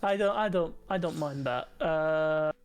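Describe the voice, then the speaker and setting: A man's voice: a little high, a little slow, clear, resonant tone, soft background music. A young man co-hosting a podcast from his desk at home, joking crudely and laughing often. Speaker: podcast co-host. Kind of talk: casual banter.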